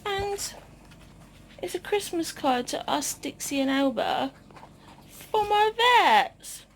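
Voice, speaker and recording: A woman hosting a casual vlog, chatting informally and haltingly to the camera. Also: very high voice, expressive, slight background noise. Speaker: vlog host.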